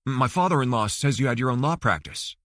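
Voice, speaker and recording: A man's voice: medium pitch, lively intonation, fairly quick, a bit bright, no room sound, studio quality, natural voice. A man voicing a cocky, evasive male lawyer in an audiobook, probing with hesitant starts. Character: Rafael Suarez, cocky evasive Cuban-American suspended lawyer.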